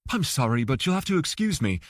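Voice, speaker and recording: A man's voice: on the high side, very animated, quick pace, no room sound, clean studio sound. A man voicing a formal, direct, heavyset woman lawyer in an audiobook. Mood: businesslike. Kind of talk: audiobook character dialogue.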